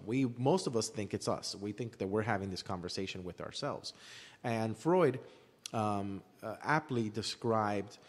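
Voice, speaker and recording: A man explaining his opinions at length in a discussion, emphatically repeating phrases for effect. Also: lowish voice. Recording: long hall-like reverb.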